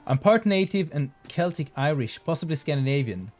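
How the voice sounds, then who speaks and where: A man's voice: a little high, expressive, brisk, darkish tone, faint music behind. A man from southern Sweden, streaming a game and chatting casually with viewers. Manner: chatty, restarts and fillers, reads chat aloud.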